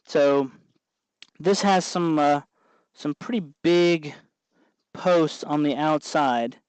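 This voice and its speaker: A man's voice: fairly high pitch, darkish tone, smooth, dry recording, poor audio. A man hosting a do-it-yourself tutorial, explaining each step patiently in a casual, folksy way as he works. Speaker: host of a DIY electronics tutorial. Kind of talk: casual hands-on tutorial, explaining while working.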